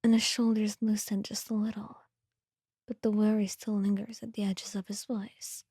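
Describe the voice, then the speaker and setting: A woman reading scripted ASMR narration in a soft, breathy whisper, with a gentle, hushed storytelling manner. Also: medium pitch, flat delivery, moderate pace, no room sound, clean studio sound.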